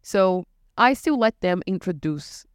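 A woman from Brazil, co-hosting a casual chat about bartending, animated and quick to act out customers' lines. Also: fairly low pitch, clear, resonant tone, dry recording, studio quality. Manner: animated, acts out customers' questions with laughs. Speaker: podcast co-host, bartender.

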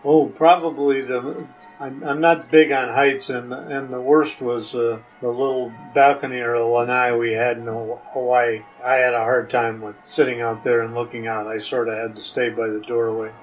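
An older man with a mid-pitched voice gives casual interview answers. He is plain-spoken and hesitant, picking his way through sentences. He sits slightly back from the mic, with faint music behind him. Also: level tone, moderate pace, recorded from a distance, highs cut off. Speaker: podcast guest answering questions.

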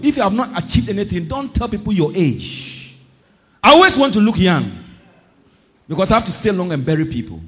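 A man preaching a sermon as pastor, impassioned, swinging between shouted declarations and low asides. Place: church service.

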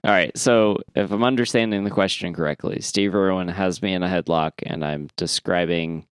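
A man co-hosting a podcast in casual comic chat, sometimes sweary and halting as he thinks aloud. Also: low voice, fairly even intonation, clean tone, dry recording, clean studio sound. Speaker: podcast co-host. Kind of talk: casual comic chat, reading listener emails.

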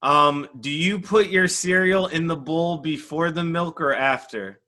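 A man interviewing a former player in a relaxed, jokey, encouraging way. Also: on the high side, a little slow. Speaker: interviewer, coach. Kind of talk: casual interview questions.

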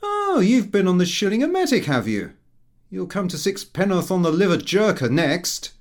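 A man's voice: high-pitched, huge pitch swings, normal speed, pitched above the performer's own voice. A man voicing a cocky, slangy young idle son in an audiobook, breezy and cheeky. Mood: mocking. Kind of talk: audiobook character dialogue.

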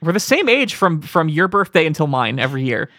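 A man's voice: a little high, very animated, fairly quick, pushed and bright, no echo, studio quality. A man in his thirties co-hosting a podcast, chatting in a wry, rambling way with many restarts. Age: 30s. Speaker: podcast co-host. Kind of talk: casual chat.

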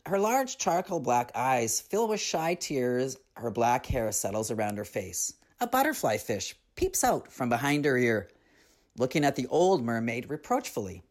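A middle-aged performer with a low feminine-sounding voice reading a children's tale expressively, with theatrical, drawn-out emphasis. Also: big pitch swings. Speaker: story reader, comedian.

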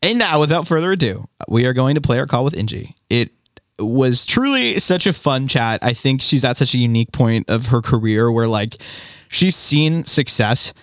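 A young man hosting a music podcast, chatting in an animated, giggly way full of fillers. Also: mid-range voice, normal speed, no echo, clean studio sound. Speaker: podcast host. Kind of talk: upbeat podcast chat.

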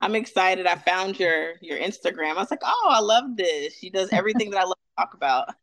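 A woman's voice: fairly low pitch, animated, fairly quick, a bit bright, dry recording. A woman in her thirties from Atlanta, a veterinarian guest talking casually and upbeat, with many fillers. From Atlanta, Georgia, US. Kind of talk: casual interview.

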